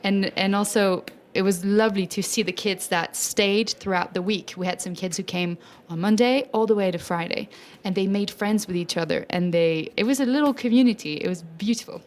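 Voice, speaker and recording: A woman's voice: mid-range voice, brisk, few pauses. A Swiss French mezzo-soprano, a native French speaker, talking with enthusiasm in an interview about her opera workshops for children, laughing often. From French-speaking Switzerland. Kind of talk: festival interview.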